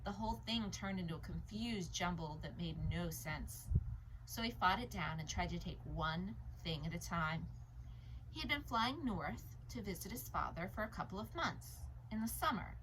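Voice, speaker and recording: Woman, lower-pitched voice, storybook narration, expressive read-aloud, background noise. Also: moderate pace. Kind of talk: expressive audiobook-style reading of a novel.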